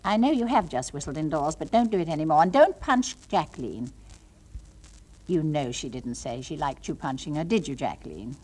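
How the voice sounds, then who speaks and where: A woman playing a patient, brightly patronising English nursery school teacher in a comic monologue, coaxing and gently scolding small children in a sing-song way. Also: low voice, sing-song, moderate pace, slightly rough, full character voice. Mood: patient, firm. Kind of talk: comic monologue, one side of classroom talk.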